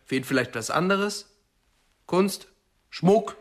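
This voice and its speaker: An actor playing an eager police assistant in a radio crime drama, speculating in a puzzled, animated way. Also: male voice, sits high, slow, heavily acted.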